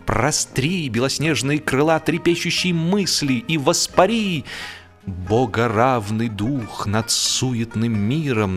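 A man's voice: mid-range voice, a bit bright. An imperious stomach lording it over the mind in a humorous audiobook, acted out by a male narrator. It issues grand, theatrical commands in declamatory style, as a master would to a slave. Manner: imperious, bombastic, declamatory orders.

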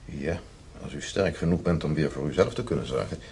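A genial hospital professor in a radio drama, courteous and gently ironic. His low voice moves expressively as he teases and reassures, on a slightly noisy recording. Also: lively intonation. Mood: kindly, reassuring. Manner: measured, gently ironic, courteous bedside talk. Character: genial male hospital professor, courteous, teasing, paternal doctor. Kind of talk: Dutch radio drama acting.

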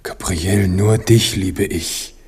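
A man's voice: low-pitched, fairly even intonation, slow, darkish tone. An actor in a German radio drama playing a devoted king, tender and soothing with his beloved. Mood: tender, concerned. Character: devoted king, a reassuring male lover.

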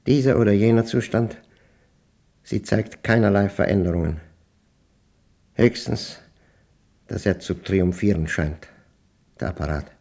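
Husky, low-voiced brooding old widower. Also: male voice, fairly even intonation, dark tone. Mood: grim, resentful. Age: older. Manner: halting, deliberate, broken phrases. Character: brooding, suspicious old widower obsessed with a machine. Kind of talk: German radio play monologue, introspective diary narration.

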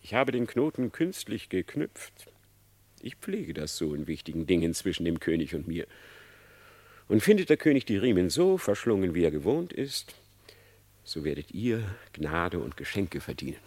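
A man playing a sly, flattering fox in a radio play, with a theatrical, wheedling, unctuous delivery. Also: low-pitched, normal speed, full character voice. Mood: sly, instructing. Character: sly, flattering male fox, scheming husband and uncle. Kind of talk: German radio play verse drama.

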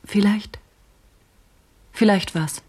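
An actress playing a probing, reflective woman journalist in a radio drama, with a deliberate, brooding delivery. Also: mid-range voice, a little mellow, breathy edge.

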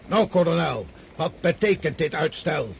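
An actor in a radio drama playing an old, sickly highborn army commander who scolds imperiously. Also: male voice, animated, normal speed, vocal fry, a little hiss or hum. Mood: furious.

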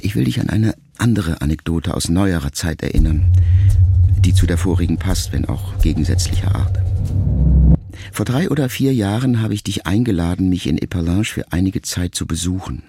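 A man reading a first-person letter as an audiobook narrator, calm and measured. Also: low-pitched, slightly rough, no room sound. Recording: music bed under the voice.

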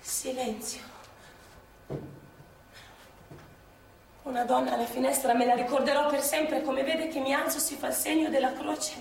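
A woman's voice: high-pitched, level tone, brisk, gravelly, a little mellow. An actress playing an agitated wartime woman partisan, telling her story in an urgent theatrical monologue that repeats phrases. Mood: shaken. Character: Lia, woman partisan courier in wartime Milan, agitated narrator. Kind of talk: theatrical monologue with acted dialogue. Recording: distant echoey stage recording with noise.

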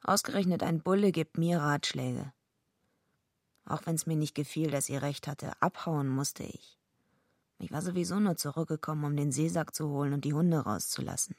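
An actress playing a gruff, streetwise young female fighter who speaks bluntly and tersely in a radio drama. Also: normal speed, darkish tone, no echo, clean studio sound. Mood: dry, brooding. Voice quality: low, husky, gravelly. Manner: blunt, terse, laconic first-person telling. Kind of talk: radio drama acting with narration.

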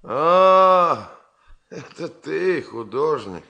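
A wry engineer, slow and expressive. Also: male voice, a little high, creaky, muffled recording, heavily acted. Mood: warm curiosity. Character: wry middle-aged engineer, ill but bantering, stoical. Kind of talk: Soviet radio drama acting.

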